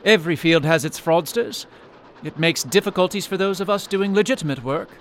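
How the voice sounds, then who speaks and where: An actor playing a forthright, inquisitive young psychic investigator in a radio drama. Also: male voice, sits high, fairly quick, a bit bright, a little hiss or hum. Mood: inquisitive, pointed. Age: young adult. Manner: inquisitive, direct.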